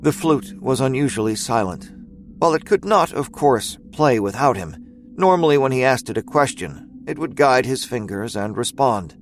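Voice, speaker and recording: A man delivers scripted, dramatic fiction narration in a mid-range voice. His storytelling is measured, and soft music plays faintly behind him. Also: medium pitch, lively intonation.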